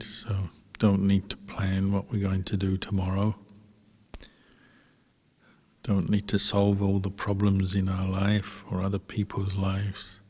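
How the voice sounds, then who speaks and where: Husky guy teaching meditation, super slow n flat, calm, big pauses, long echoey hall. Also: sits low. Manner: calm, unhurried, long pauses between short phrases. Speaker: Buddhist monk meditation teacher. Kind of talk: guided meditation instruction. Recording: reverberant hall, long echo.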